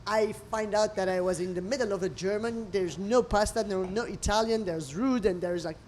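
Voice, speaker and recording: Middle-aged lady, restaurant owner, chatty and expressive, slightly broken english, bit of background hum. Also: medium pitch, moderate pace. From Lebanon. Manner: expressive storyteller with non-native English phrasing. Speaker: restaurant owner, interview guest. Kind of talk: conversational interview over a meal.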